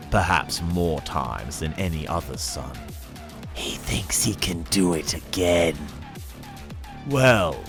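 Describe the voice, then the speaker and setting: A deep-voiced man narrates dark science fantasy in a theatrical, menacing style. His pacing is slow, with deliberate pauses, and his pitch moves widely as he leans on key words. Music plays beneath the reading. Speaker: narrator reading an audiobook excerpt. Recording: music under the voice. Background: dramatic background music.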